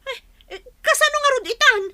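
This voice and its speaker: Exasperated wife and mother, Ilocano accent, piercing high voice, scolding, emotional radio drama. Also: fairly quick, bright, forceful tone, exaggerated voice. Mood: angry, nagging.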